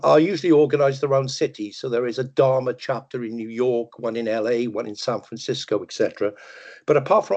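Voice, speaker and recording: An older man with a Welsh accent giving a relaxed, joking presentation over a video call, with plenty of ums and uhs. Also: mid-range voice. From Wales. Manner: relaxed, chatty, self-deprecating humour with many ums and uhs. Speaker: webinar presenter. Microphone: video call microphone. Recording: muffled video call audio.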